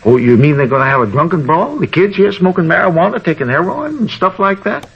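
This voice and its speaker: An actor playing a fussy, eager, nosy homeowner in a 1950s radio drama, rambling and repeating himself. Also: male voice, high-pitched, expressive, brisk, creaky, breathy edge, full character voice. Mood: excitable, indignant. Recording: dull, noisy old radio recording.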